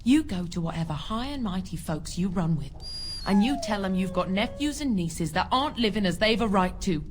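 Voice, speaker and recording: A woman's voice: mid-range voice, animated, brisk, lightly acted. Game role: scornful, hard-up mother played by a woman, accusing and resentful, some noise behind. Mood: angry contempt. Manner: scornful, accusing, working-class phrasing. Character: bitter, poor, scornful mother of five, commoner. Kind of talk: video game voice acting.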